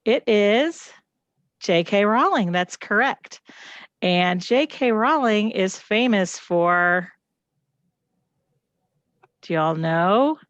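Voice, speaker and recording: A woman's voice: medium pitch, lively intonation, extremely slow, long pauses, no echo, highs cut off. A middle-aged woman, presenting a reading lesson to children and families and asking them questions. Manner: encouraging, asks the audience questions. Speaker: teacher presenting at family night.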